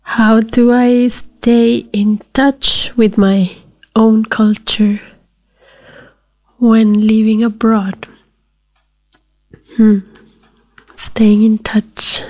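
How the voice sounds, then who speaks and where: A woman speaking slowly and reflectively, partly in a whisper. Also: on the high side, little pitch movement, low-quality recording. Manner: slow, hushed, partly whispered. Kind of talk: reflective spoken piece.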